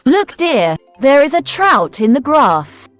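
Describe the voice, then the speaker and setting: A woman playing a gullible, excitable farmer's wife in a fairy tale, full of wide-eyed exclamations. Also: sits high, slow, over music, heavily acted. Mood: amazed. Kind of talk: animated fairy tale character acting.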